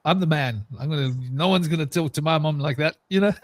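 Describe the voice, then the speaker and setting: A man's voice: on the high side, quick pace, no room sound. A young adult man chatting casually, stammering at the starts of his sentences, fond and talkative. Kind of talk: casual chat.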